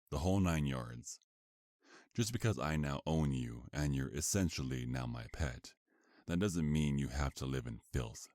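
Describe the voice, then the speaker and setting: A man playing a sinister, condescending vampire mafia boss in an ASMR roleplay, speaking in a formal, menacing, drawn-out way. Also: rumbling low, a little mellow, no echo, clean studio sound.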